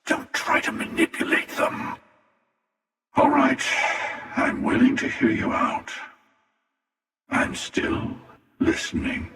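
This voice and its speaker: An older man playing an ancient, sardonic and menacing starfaring hunter in a video game, with a gravelly, wry, taunting drawl. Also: very high voice, huge pitch swings, takes it slowly, long pauses, a bit bright, recorded from a distance, full character voice. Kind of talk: scripted video game character dialogue. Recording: cavernous reverb, voice set back from mic.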